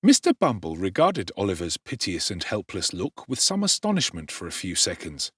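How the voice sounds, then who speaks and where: A man narrates a classic novel with strong theatrical expression and a husky tone. His pitch swings very widely, and the recording is dry and close. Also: huge pitch swings, normal speed, a bit bright, no room sound. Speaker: audiobook narrator. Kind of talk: dramatic audiobook narration.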